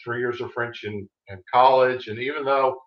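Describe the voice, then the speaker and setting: A man speaks with a Texas accent, easy and unhurried as he tells about his book. He circles back and restarts his thoughts as he goes. His voice sits in a roomy space and comes through a dull, lo-fi video call. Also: mid-range voice, fairly even intonation. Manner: easygoing, rambling with restarts. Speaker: author guest. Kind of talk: casual interview chat.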